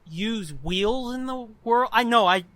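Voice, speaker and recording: High-pitched, bright-voiced man chatting bluntly. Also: a little slow, no room sound. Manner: profane, blunt, animated explaining. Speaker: podcast host. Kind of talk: casual chat.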